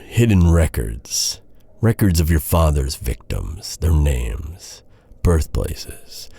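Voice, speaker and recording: An actor playing an embittered, vengeful knight in a dark fantasy audio roleplay, brooding and intense, with halting dramatic delivery. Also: male voice, very deep voice, husky, dry recording, heavily acted. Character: vengeful, embittered male knight interrogating his captive enemy.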